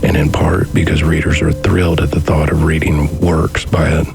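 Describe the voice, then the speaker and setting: Super low creaky guy narrating a documentary, pretty flat, music behind him. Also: normal speed.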